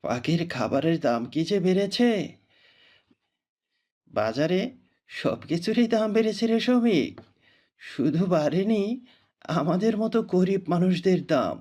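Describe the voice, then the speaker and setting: A poor bird-hunter father in a cartoon, voiced by a man. He is weary and resigned, grumbling fatalistically in a high male voice. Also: sing-song, a little slow, pauses often, darkish tone, full character voice. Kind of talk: cartoon voice acting.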